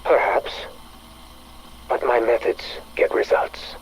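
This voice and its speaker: An actor playing a cold, commanding director of a sinister program in a game audio log, clipped and formal. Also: male voice, slow pace, a little hiss or hum, natural voice, rough recording. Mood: cold, firm.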